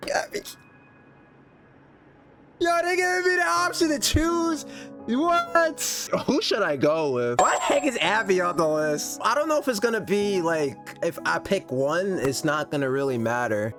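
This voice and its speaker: A man giving casual, slangy live reaction commentary while playing a video game, exclaiming excitedly. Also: high-pitched, measured pace, long pauses. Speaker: gaming content creator reacting to a story quest. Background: video game music.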